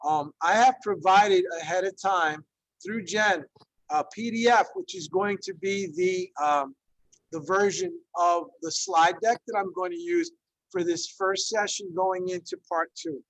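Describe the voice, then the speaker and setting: A man's voice: sits high, a little slow, a bit bright, no echo, rough recording. A man in his fifties from Ecuador, a Spanish teacher leading an online workshop in a conversational way, with many fillers and frequent check-ins. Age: 50s. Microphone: video call.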